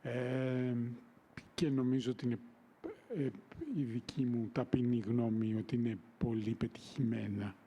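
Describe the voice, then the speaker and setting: Guy in the audience, lots of ums, relaxed dark tone. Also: mid-range voice, moderate pace, a little hiss or hum. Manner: hesitant, many fillers. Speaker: audience member. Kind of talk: live Q&A question.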